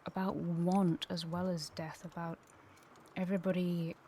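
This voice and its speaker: Reflective, slightly low-pitched woman. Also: level tone, unhurried, warm tone, slight background noise. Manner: reflective, articulate. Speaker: podcast guest. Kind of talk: thoughtful conversational discussion.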